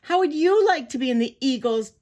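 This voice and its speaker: A little prairie dog from a storybook, high and animated, acted by a woman reader. It speaks in earnest, pleading exclamations, trying to get everyone in line. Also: fairly quick. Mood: indignant, urgent. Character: Pipsqueak, small earnest prairie dog peacemaker. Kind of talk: animated children's storybook reading.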